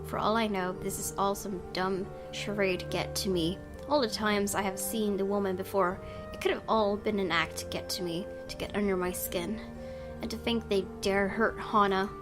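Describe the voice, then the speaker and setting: A woman's voice: medium pitch, fairly even intonation, moderate pace. A woman gaming host, reading a visual novel aloud expressively and adding her own casual commentary. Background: game music.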